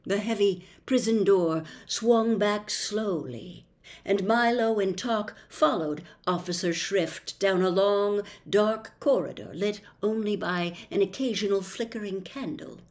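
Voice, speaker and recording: A woman reading a children's classic aloud as a storyteller, with deliberate, dramatic narration. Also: mid-range voice, a little mellow.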